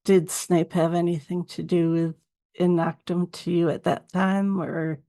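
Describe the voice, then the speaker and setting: A woman's voice: lowish voice, little pitch movement, a little slow, mellow. A woman hosting a podcast, casually chatting as she interviews a guest. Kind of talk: casual chat.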